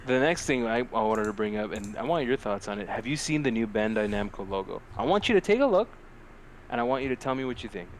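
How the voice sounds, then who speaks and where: A man chatting casually as a podcast co-host, animated, foul-mouthed and quick to mock himself. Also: mid-range voice, slight background noise. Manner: profane, animated, self-mocking. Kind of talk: casual chat.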